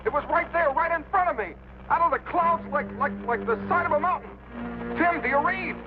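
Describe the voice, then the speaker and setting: Panicking pilot on the radio, super high frantic voice, muffled old drama sound. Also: male voice, a little fast, faint music behind. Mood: panicked. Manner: frantic, breathless exclamations. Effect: radio transmission. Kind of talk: 1950s television drama acting.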